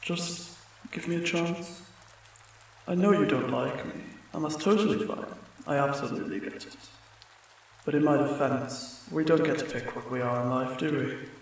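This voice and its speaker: A man playing a friendly, apologetic sleep paralysis demon in an ASMR comfort roleplay, speaking gently and haltingly, with reassurance. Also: fairly high pitch, lightly acted. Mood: apologetic, gentle. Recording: very echoey, distant, rain sounds behind. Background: rain.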